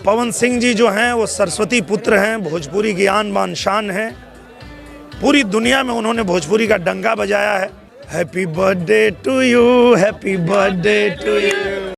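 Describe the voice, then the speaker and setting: A man's voice: high-pitched, measured pace, vocal fry, fairly bright tone, soft background music. A man delivering a birthday tribute.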